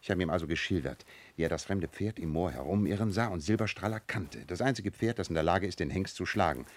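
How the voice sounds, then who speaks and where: A man's voice: low voice, fast talker. A man playing a shrewd, self-assured detective with playful irony in a German radio play. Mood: confiding, amused. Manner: theatrical, teasing, confident.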